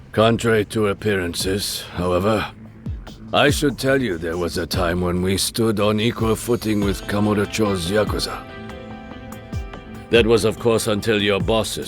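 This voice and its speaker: An actor playing an aging underworld elder in a video game dub, speaking formally and with measured, bitter courtesy. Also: male voice, sits low, lightly acted. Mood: cool courtesy. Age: older. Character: aging male immigrant crime elder, courteous, bitter, quietly menacing.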